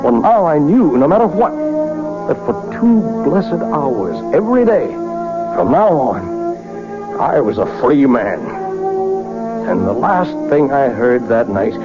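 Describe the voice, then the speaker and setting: An actor playing an obsessive, henpecked man in a vintage radio drama, with theatrical delivery and dramatic pauses. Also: medium pitch, big pitch swings. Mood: wistful, rapt. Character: obsessive, henpecked man, guilt-ridden and feverishly desperate. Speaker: narrator and lead actor. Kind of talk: vintage radio drama acting, first-person storytelling. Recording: muffled vintage radio recording with music.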